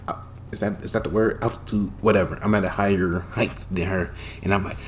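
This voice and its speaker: A young man casually telling a personal story, animated and profane, with loud bursts and lots of slang. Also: low-pitched, brisk, a little hiss or hum. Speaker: storytime vlogger.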